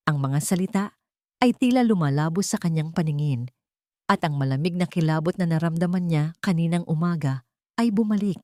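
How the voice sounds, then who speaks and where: A woman's voice: on the low side, darkish tone, dry recording, studio quality. A woman reading a scripted dramatic romance story as its narrator.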